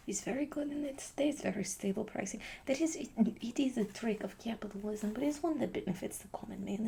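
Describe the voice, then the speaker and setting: A grandiose, blunt artist speaks in soft, haughty whispers with a put-on foreign accent that keeps slipping, as a woman acts her out. The voice is low and gravelly with a dark, mellow tone, and her delivery stays deadpan. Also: a little hiss or hum. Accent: put-on foreign accent that slips. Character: pretentious eccentric female artist, grandiose and blunt. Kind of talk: whispered ASMR roleplay.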